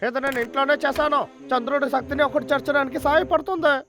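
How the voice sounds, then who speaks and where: Casting: gullible woodcutter villain, very high voice, whiny and power-hungry, over cartoon music. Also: male voice, fairly even intonation, fast. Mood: boastful, menacing. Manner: boastful, whiny, exclamatory. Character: scheming, gullible male woodcutter villain craving magic power. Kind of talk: Telugu cartoon dub acting. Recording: music under the voice.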